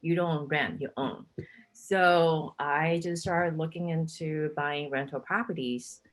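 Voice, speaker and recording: Casting: low-pitched middle-aged woman from Taiwan, chatty guest, laughs easily. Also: steady tone, slow pace, low-quality recording. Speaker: guest, real estate agent. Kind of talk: casual interview chat.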